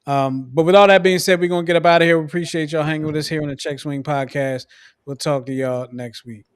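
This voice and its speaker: A man's voice: a little high, steady tone, fast talker, clean tone, no room sound, clean studio sound. A man hosting a casual sports podcast, laid-back and teasing, slightly losing his voice. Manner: laid-back, teasing, repeats sign-off phrases. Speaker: podcast host.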